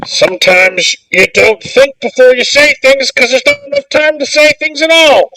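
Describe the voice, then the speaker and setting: A man voicing an ancient time dragon, by turns ponderous and hurried in its delivery. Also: very high-pitched, animated, gravelly, sharp tone, voice pushed up, exaggerated voice, rough recording. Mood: hurried, frantic. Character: ancient time dragon shifting between slow and rushed speech.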